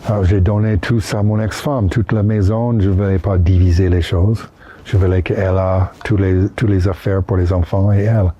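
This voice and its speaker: A man in his sixties from Utah, with an American accent, chatting casually and haltingly in French with English words slipped in, recorded outdoors under a bridge. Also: sits low, little pitch movement, warm tone. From Utah, United States. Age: 60s. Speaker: interviewee. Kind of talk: casual chat.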